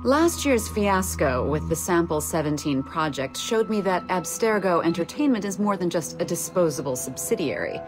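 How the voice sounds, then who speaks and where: A woman's voice: fairly low pitch, animated, moderate pace, few pauses, lightly acted. An actress playing a cool, unyielding female corporate superior in a video game, speaking in a curt, formal, authoritative way. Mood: cool authority. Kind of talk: scripted video game dialogue. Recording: music behind. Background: music.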